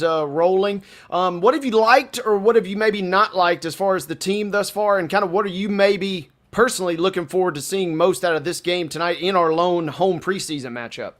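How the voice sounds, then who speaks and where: A man with a high-pitched voice and an American accent co-hosts sports radio. He puts questions to a guest in a casual, conversational way. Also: fairly bright tone.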